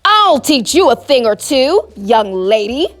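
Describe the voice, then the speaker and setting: A woman's voice: high-pitched, sing-song, unhurried. A woman voicing a vain, pompous, easily flustered male fox in a storybook, speaking in wordy, indignant phrases, with music behind. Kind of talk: storybook character voice.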